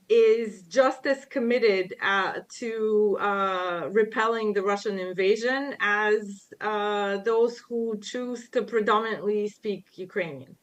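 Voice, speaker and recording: A woman lecturer answering audience questions at a public talk, speaking in a measured way with frequent pauses and fillers. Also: mid-range voice. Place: public library event room.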